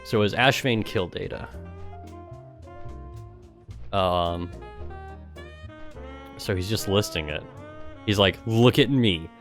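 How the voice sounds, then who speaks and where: A man's voice: lowish voice, slow, long pauses, music in the background. A man doing casual, mocking livestream commentary, sometimes reading aloud in a sarcastic way. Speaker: streamer and gamer.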